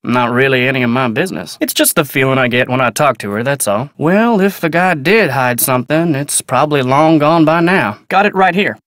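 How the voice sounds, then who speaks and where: A man's voice: mid-range voice, lively intonation, brisk, hardly any pauses, fairly bright tone, no room sound, studio quality, lightly acted. An actor playing a guarded, polite American ranch foreman in a video game, folksy, clipped and matter-of-fact. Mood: plain, reserved. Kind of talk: naturalistic video game character dialogue.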